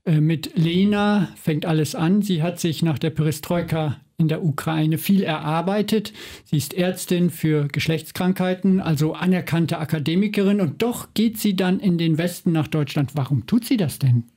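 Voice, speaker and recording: Hesitant radio interviewer, dark warm voice. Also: male voice, a little high. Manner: measured questions with frequent "äh" hesitations. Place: radio studio.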